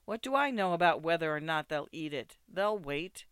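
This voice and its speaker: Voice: medium pitch, lively intonation, moderate pace, fairly bright tone, clear, resonant tone, no room sound, studio quality, close to an everyday voice. A narrator voicing a flustered, feeble, exasperated young husband in a storybook, pleading and fretting. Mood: irritated.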